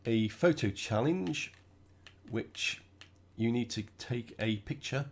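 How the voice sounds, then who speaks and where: A man with a cold, sounding croaky and stuffed up, chatting casually through his own racing gameplay. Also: mid-range voice, slow. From United Kingdom. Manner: relaxed, chatty, thinking aloud while driving. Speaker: gaming channel host.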